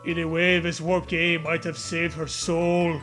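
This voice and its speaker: A man's voice: fairly high pitch, monotone, measured pace, fairly bright tone, faint music behind. A man reading a story aloud in a comedic way, with mocking, profane casual riffing.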